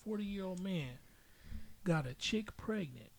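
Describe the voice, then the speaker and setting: A man's voice: sits high, expressive, slow, gravelly. A man hosting a casual podcast, talking in slangy, emphatic, opinionated style and repeating himself for effect. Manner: emphatic, slangy storytelling with repetition. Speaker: podcast host.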